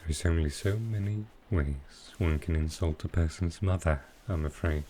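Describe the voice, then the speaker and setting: A man playing a sly, dryly amused male supervillain in a soft roleplay ASMR style, with halting, understated delivery. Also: low-pitched, a little hiss or hum, close to an everyday voice. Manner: unhurried, halting delivery with pauses, dry understated humour.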